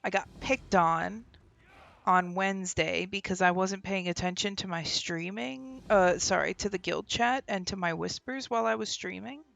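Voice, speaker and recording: A woman's voice: mid-range voice, normal speed, creaky, fairly bright tone. A young woman streaming a game from home, chatting casually and laughing, often trailing off mid-sentence, on a desk microphone. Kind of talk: casual gameplay stream chat. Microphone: desk microphone with headphones.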